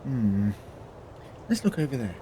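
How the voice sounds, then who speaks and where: An actor playing a learned, eloquent time traveller in an audio drama, with a theatrical, drily humorous manner. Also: male voice, medium pitch, big pitch swings, a little slow, noisy background. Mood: grave, explanatory. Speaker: lead actor.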